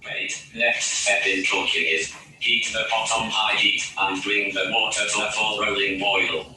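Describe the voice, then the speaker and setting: A synthetic man's voice speaks as a robot assistant with stiff, scripted phrasing. It is monotone and extremely sharp in tone. The playback is heavily echoed, as if heard from across a room. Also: on the low side, unhurried. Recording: very echoey, distant playback with music.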